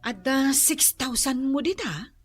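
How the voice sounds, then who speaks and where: A woman's voice: sits high, animated, slow, soft background music. An actress playing a self-assured, defensive middle-aged mother and wife in a radio drama, with an Ilocano Filipino accent. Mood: breezy, scheming. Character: spendthrift, defensive mother and wife, boastful schemer.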